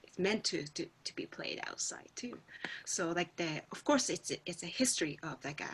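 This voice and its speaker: A middle-aged Japanese woman whose first language is Japanese, telling her story in halting English on a video call as a guest musician. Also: lowish voice, expressive, whispered. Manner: halting, searching for words in a second language. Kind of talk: reflective personal storytelling.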